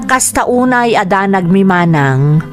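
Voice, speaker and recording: An actress with a Filipino accent playing a sad child who narrates family troubles in a radio drama. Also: female voice, medium pitch, lively intonation, measured pace, music in the background, lightly acted. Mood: sorrowful.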